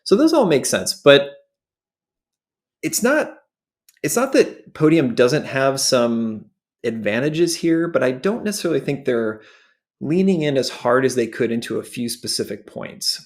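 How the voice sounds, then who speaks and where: A man's voice: medium pitch, very animated, measured pace. A man hosting a product walkthrough, explaining things in short phrases as commentary. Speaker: show host and presenter.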